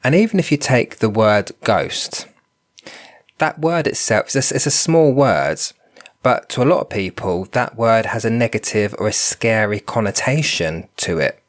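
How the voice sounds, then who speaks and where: A man's voice: fairly low pitch, lively intonation, normal speed. Congested nasal man with a cold. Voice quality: nasal, bunged up. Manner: thoughtful, repeats words while thinking. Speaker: podcast host, spiritual medium. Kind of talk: solo podcast talk.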